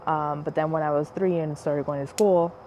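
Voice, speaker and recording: A woman's voice: deep voice, fairly even intonation, normal speed, dark tone. A woman from the United States whose first language was Spanish, hosting a casual wine podcast in a chatty way, with many "like"s and "um"s. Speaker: podcast host. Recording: faint background noise, passing airplane.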